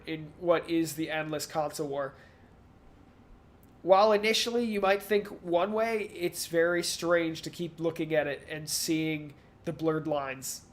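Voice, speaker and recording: Rambling man talking games casually. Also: a little high. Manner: rambling analysis, acts out companies' positions in mock quotes. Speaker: podcast co-host. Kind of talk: casual video game industry discussion.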